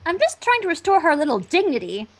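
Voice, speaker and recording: Woman voicing a fussy, high, refined unicorn lady. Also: huge pitch swings, quick pace, exaggerated voice. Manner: prim, theatrical, wheedling. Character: refined, fussy, coaxing female unicorn fashionista.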